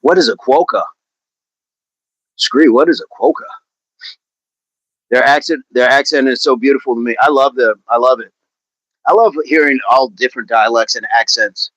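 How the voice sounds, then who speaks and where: A man's voice: medium pitch, moderate pace, pauses often, a bit bright, no room sound, poor audio. Upbeat chatty man on a dry mic. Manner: chatty, upbeat, laughs often, repeats phrases. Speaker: fitness livestream host. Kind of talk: casual livestream chat answering viewer comments.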